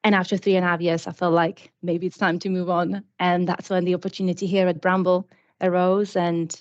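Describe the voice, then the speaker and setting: A chatty woman in her twenties from the Czech Republic, a native Czech speaker, tells her story with quick asides. Her voice sits a touch low. The line is dull and lo-fi. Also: flat delivery. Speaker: panel guest, product systems engineer. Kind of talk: casual panel talk. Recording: dull lo-fi sound.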